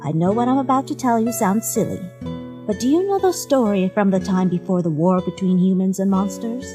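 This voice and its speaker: A woman's voice: mid-range voice, lively intonation, a little fast, natural voice. A woman voicing a caring, motherly female monster in a comic dub, speaking politely and formally like a soothing storyteller. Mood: gentle, reassuring. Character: gentle, caring, courteous motherly female monster behind a door. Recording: music behind the voice.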